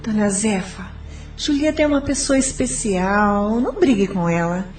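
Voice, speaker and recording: A woman's voice: mid-range voice, animated, moderate pace, a little mellow, soft background music, close to an everyday voice. A Brazilian woman playing a respectful, kindly housemaid who pleads and advises in an audio drama. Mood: gentle pleading.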